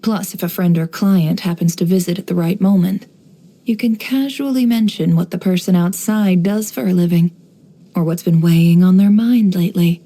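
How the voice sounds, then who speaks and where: A shrewd young woman from a video game, with a lowish, dark and velvety voice. She speaks in a composed, measured way, guarded and wry, as if she knows more than she says. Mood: sly, amused. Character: cool, shrewd, guarded young desert-born woman running an information business. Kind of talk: scripted game character voice lines.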